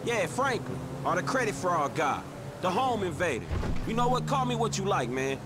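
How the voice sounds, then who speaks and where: A man's voice: high voice, sing-song, moderate pace, loud music behind the voice. An actor playing a streetwise young American man in a video game, easygoing, straight-talking and slangy. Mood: matter-of-fact. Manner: casual, slangy, laid-back. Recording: loud car and street noise behind.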